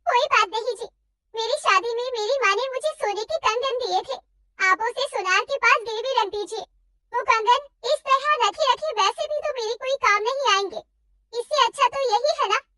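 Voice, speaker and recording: A woman's voice: very high voice, pushed and bright. A woman voicing a hardworking, devoted young wife in a cartoon story, speaking earnestly and reassuringly.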